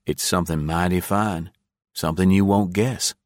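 A man's voice: low voice, steady tone, normal speed, a little mellow, no room sound, close to an everyday voice. A plucky young captive played by a male audiobook narrator talks in folksy, wheedling phrases. He sounds playful on the surface with desperate appeal underneath, in a dry, close recording. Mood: pleading playfulness. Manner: folksy, cajoling. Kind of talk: audiobook character acting.

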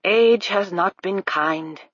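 A woman's voice: medium pitch, slow pace, a bit bright, no room sound, dull audio. A woman voicing a nervous, humble male constable in his thirties who apologizes in a stiff, overly formal way.

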